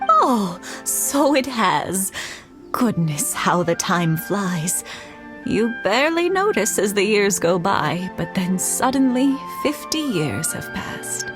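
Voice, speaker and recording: Slow-talking kindly old woman. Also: medium pitch, big pitch swings. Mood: nostalgic. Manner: gentle, unhurried, grandmotherly. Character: kindly elderly village woman, gentle and wistful. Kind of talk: English video game voice acting. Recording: music playing behind the voice.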